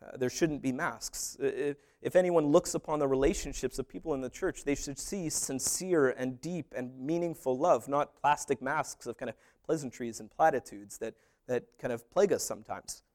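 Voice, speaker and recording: A man preaching a sermon as pastor, animated, with humorous asides and little acted-out dialogues. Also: medium pitch, normal speed.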